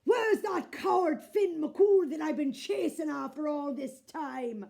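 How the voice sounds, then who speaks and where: A woman voicing a gluttonous, blustering bully of a giant man who bellows and shouts. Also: sits high, slow pace, creaky, pitched above the performer's own voice, exaggerated voice. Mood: bellowing.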